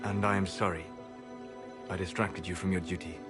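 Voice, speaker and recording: An actor playing a soft-spoken male elf, calm and regretful, speaking quietly and gently over loud game music. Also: sits low, moderate pace, natural voice. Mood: tender. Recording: game score loud behind voice.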